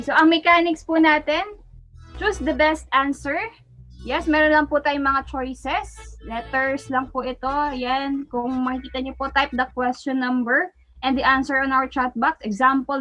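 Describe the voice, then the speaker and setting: High-pitched Filipino woman hosting a webinar. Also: steady tone, normal speed, a bit bright, soft background music. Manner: polite, frequently thanking attendees. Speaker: webinar host. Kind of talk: casual live webinar hosting in mixed Tagalog and English. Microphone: online video call.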